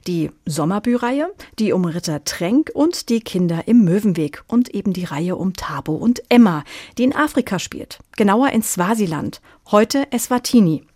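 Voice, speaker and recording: A woman's voice: medium pitch, dark tone, dry recording, clean studio sound. A woman with a standard German accent presenting a radio programme, with scripted announcements and questions to a colleague. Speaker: radio host. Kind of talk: scripted presenting and studio dialogue.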